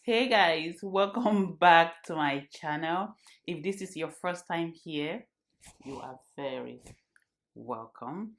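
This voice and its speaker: A woman's voice: fairly low pitch, lively intonation, slow pace, over music. A woman in her thirties from Cameroon, talking earnestly to her channel's viewers and repeating phrases for emphasis. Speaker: vlogger. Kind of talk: casual talk to camera.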